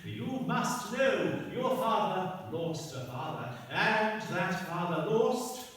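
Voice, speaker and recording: A man's voice: high voice, big pitch swings, takes it slowly, fairly bright tone, far from the mic, full character voice. A man playing a bossy, scheming king in an amateur comic radio play, recorded in an echoey hall from afar. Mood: grandiose. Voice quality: theatrical, bossy.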